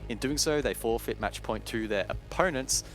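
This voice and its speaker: Male esports analyst, mid-pitched, explanatory live commentary with music underneath. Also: normal speed, rough recording. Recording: music behind the voice.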